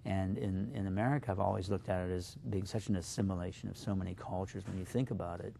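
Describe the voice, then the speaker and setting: A man whose first language is Slovak, a poet guest giving thoughtful, halting interview answers with many fillers. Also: low-pitched, steady tone, creaky, noisy background.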